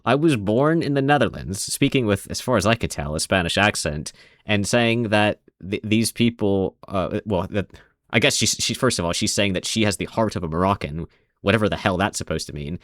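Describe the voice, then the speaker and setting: A man's voice: on the low side, animated, fairly quick, fairly bright tone, no echo, clean studio sound. A man casually commenting over video clips in a rambling, sarcastic way. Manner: rambling, sarcastic, many restarts.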